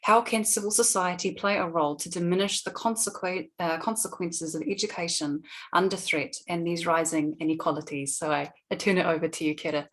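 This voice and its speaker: A woman moderating an online panel, reading a prepared question in a measured, steady way. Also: on the low side. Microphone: video call.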